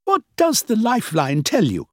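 A man's voice: high-pitched, wildly swooping pitch, moderate pace, a little mellow, no echo, clean studio sound, pitched above the performer's own voice, exaggerated voice. A man doing a scornful skeptical older woman. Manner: scornful, lecturing, emphatic.

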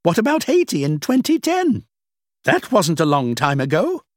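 A man voicing a sharp, skeptical older woman who lectures and scoffs, in an audiobook reading. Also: a little high, huge pitch swings, moderate pace, no echo, studio quality, pitched above the performer's own voice. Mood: scornful. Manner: scornful, lecturing, emphatic.

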